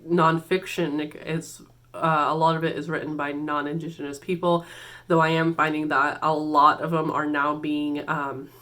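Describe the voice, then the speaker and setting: A woman's voice: deep voice, a bit bright. Congested, nasal woman chatting about books. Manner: chatty and hesitant, many fillers and restarts. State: congested from a cold, a little nasal. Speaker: BookTube channel host. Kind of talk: casual book-tag vlog.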